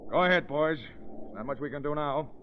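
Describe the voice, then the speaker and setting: An actor playing a gruff, stubborn steamship captain who speaks bluntly and barks orders in 1930s radio drama style. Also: male voice, fairly high pitch, brisk, natural voice. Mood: indignant. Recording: tinny, noisy old 1930s radio recording.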